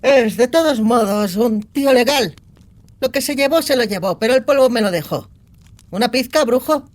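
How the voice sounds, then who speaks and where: A woman's voice: a little high, lively intonation, normal speed, a little mellow. Brash, streetwise outlaw girl. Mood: mocking. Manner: crude, sardonic, slangy. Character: brash, foul-mouthed young outlaw girl, cynical and streetwise. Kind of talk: acted audiobook dialogue.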